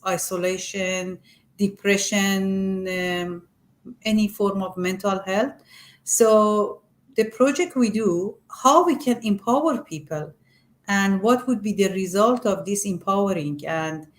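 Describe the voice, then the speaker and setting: A middle-aged or older woman from Iran with a Persian accent, speaking non-native English haltingly and searching for words as she explains her work in an interview. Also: medium pitch. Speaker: arts group representative being interviewed.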